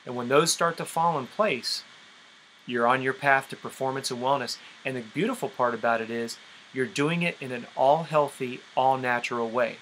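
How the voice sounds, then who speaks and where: Bright-voiced male coach on a noisy call. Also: medium pitch, normal speed. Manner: explanatory, checks understanding with frequent okay. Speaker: fitness and nutrition coach. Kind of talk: instructional coaching on a group conference call. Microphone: conference call line. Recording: noisy conference call recording.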